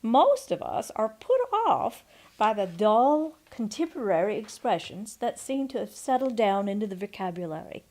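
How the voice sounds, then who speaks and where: A woman in her sixties reading a folksy memoir aloud as a storyteller, expressive and deliberate, with pauses. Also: mid-range voice. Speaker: storyteller and audiobook narrator. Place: quiet room at home, indoors. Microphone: microphone on a stand before her, seated.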